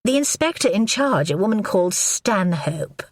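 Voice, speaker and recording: Woman voicing a sharp, impatient woman. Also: mid-range voice, big pitch swings, dry recording, studio quality, pitched above the performer's own voice. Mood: tense. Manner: brisk and biting. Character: sharp, impatient woman confronting her lover. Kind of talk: audiobook character voice.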